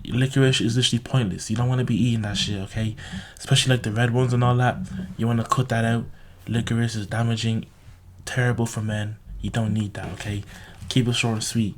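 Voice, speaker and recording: A man with a slightly raspy, sore-throated voice giving casual, unscripted advice to viewers, bluntly, with heavy swearing, repetition and frequent "okay?" tags. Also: mid-range voice, level tone, fast, slight background noise. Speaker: self-improvement video host.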